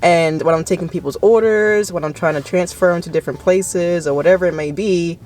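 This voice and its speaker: A woman in her twenties with a deep voice that can crack, chatting casually with a friend in a self-deprecating way. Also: fairly quick, a little mellow. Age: 20s. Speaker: vlog co-host and call center worker.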